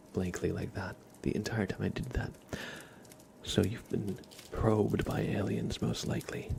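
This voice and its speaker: A man streaming live ASMR, speaking softly and often in a whisper, with a playful, deadpan roleplay manner. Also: lowish voice, creaky, noisy background.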